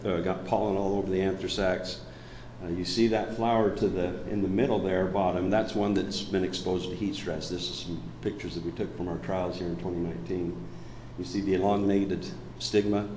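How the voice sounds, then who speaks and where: Man, chest cold, very low voice, research talk over slides, roomy echo. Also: a little mellow, slight background noise. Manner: walks through slides, pointing out details. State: chest cold, voice deeper than usual. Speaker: crop researcher presenting findings.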